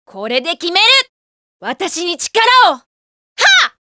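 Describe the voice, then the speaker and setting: Spirited young fighter woman from a japanese game, really high voice, punchy battle cries, swings all over. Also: slow, full character voice. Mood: fierce, determined. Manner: energetic, punchy shouts and short battle cries. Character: young female martial artist fighter, spirited and determined.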